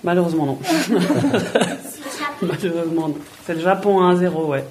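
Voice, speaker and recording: A woman's voice: fairly low pitch, normal speed, noisy background. Woman, plain friendly answers. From Switzerland. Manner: plain, friendly, repeats words while thinking. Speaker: footballer guest. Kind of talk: answering children's interview questions.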